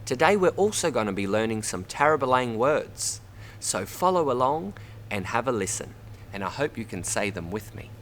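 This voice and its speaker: An Australian man reads a children's story aloud in an expressive way, addressing young listeners as a storyteller. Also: medium pitch, normal speed, a little hiss or hum. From Bundaberg, Queensland, Australia. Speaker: storybook reader. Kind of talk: read-aloud children's story, narration with word teaching.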